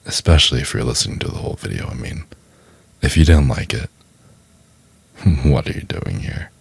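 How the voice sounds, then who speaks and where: Very deep, husky man rambling casually. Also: moderate pace. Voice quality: deep, gravelly. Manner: rambling, hesitant, joking. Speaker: ASMR creator. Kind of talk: casual aside to viewers.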